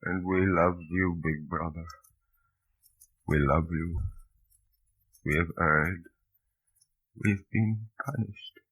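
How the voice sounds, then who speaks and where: A man's voice: sits low, fairly bright tone, breathy edge, heavily acted, poor audio. An actor playing a broken man who devoutly submits to his dictator in a 1950s radio drama.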